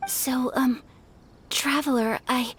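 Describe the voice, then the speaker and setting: A woman playing a refined, earnest young noblewoman in a video game, with a soft, gentle voice and formal, measured, wistful delivery. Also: sits high, fairly even intonation, a little hiss or hum. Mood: shy, nervous. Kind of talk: video game cutscene dialogue.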